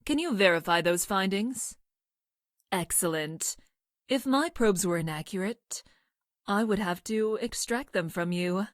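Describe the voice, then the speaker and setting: Game role, actress: female future scientist, mid-pitched, clinical and emotionless, formal precise diction, close dry booth sound. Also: expressive, unhurried, no room sound, close to an everyday voice. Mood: formal, detached. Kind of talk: scripted video game character lines.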